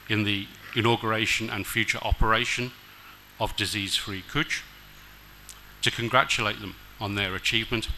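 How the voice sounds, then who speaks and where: Middle-aged male diplomat, formal reader, low-ish and bright, podium mic. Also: a little hiss or hum, low-quality recording. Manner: measured, formal, occasional hesitations. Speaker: diplomat guest speaker. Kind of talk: formal prepared speech read aloud. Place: decorated outdoor stage at a temple event. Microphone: stand mic at a podium.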